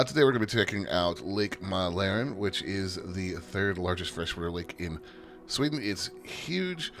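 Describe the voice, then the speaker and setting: A man hosting a flight sim channel, giving easygoing, self-deprecating commentary in a chatty tour-guide way. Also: low voice. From United States. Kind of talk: casual gameplay commentary. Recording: steady background hum.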